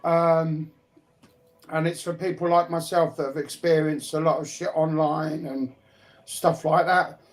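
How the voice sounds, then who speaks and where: A man's voice: fairly high pitch, flat delivery, measured pace, poor audio. A man chatting casually on a livestream panel, talking in a rambling way and repeating himself for emphasis.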